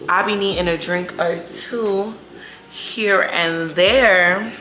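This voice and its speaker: A woman's voice: on the low side, takes it slowly, pushed and bright, slight background noise, poor audio. A woman in her twenties talking casually in a question-and-answer vlog, slangy, chatty and candid, stretching words for emphasis. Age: 20s. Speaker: YouTube vlogger.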